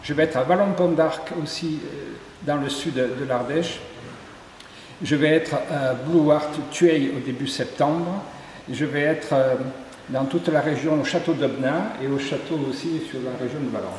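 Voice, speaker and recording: A man's voice: on the high side, unhurried, a little mellow, slight background noise. A man from southern Ardèche, a sculptor, describing his works at length with small hesitations in an interview at an exhibition. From southern Ardèche, France. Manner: descriptive, lists details with hesitations. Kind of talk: interview, explaining his artworks. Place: tourist office exhibition hall. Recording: echoey hall with faint ambience.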